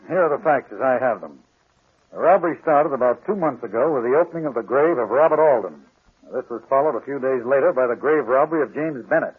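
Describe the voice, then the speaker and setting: An actor playing a worried, weary, blunt police inspector in a 1940s radio drama. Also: male voice, mid-range voice. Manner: blunt, plain-spoken, exasperated. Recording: muffled, husky-sounding 1940s radio recording.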